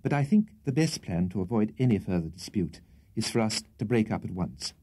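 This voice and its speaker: A man's voice: on the low side, big pitch swings, fairly quick, dark tone. A man voicing an anxious, miserable young host in a storybook reading, pleading with strained politeness. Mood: miserable, placating. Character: anxious, miserable young male host cowed by his landlady.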